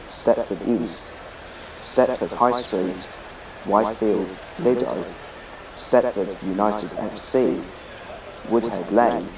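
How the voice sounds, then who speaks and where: A man reading scripted train announcements as a railway station announcer, in measured, list-like phrasing. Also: on the low side. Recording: echoey, noisy, distant station PA sound.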